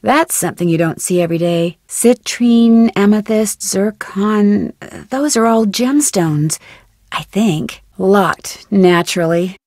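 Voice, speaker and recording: A young woman with an American accent playing a curious, upbeat teenage girl detective in an adventure game, speaking in a clear, inquisitive way. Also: mid-range voice, takes it slowly, a little mellow, no echo, studio quality. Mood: puzzled, curious. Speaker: video game voice actor. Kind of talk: scripted adventure game lines, thinking aloud.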